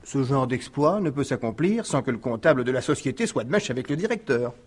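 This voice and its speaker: A man's voice: medium pitch, animated, brisk, breathy edge. An actor playing an eager police deputy in a French radio crime drama, savouring his findings with hesitant, slightly stammered build-ups. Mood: revealing.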